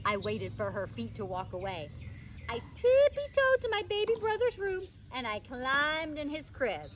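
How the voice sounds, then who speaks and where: A woman reads a children's story aloud with a very lively, swooping delivery. Her voice sits a little high, and she is recorded from a short distance with faint noise behind her. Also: unhurried. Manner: expressive, childlike first-person narration. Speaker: teacher reading a children's book aloud. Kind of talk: animated storybook read-aloud.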